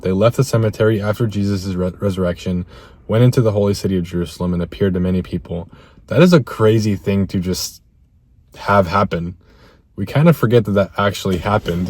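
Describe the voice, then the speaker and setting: A slightly hoarse man talking in an earnest, reflective vlog and reading scripture aloud, halting now and then over repeated words. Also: on the low side, dark tone. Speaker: self-improvement vlogger.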